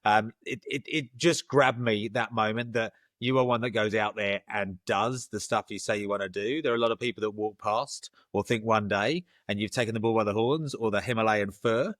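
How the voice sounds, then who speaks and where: A man's voice: fairly low pitch, steady tone, moderate pace, few pauses, fairly bright tone, dry recording. A middle-aged man hosting a casual interview podcast, enthusiastic and a little rambling, often restarting his sentences. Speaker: podcast host.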